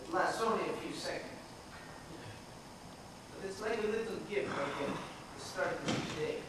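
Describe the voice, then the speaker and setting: A man's voice: sits high, moderate pace, natural voice. A young man playing an ailing, resigned elderly grandfather in an amateur stage monologue. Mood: resigned, tender. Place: school theatre stage, recorded from afar. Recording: echoey stage, distant mic, hissy noise.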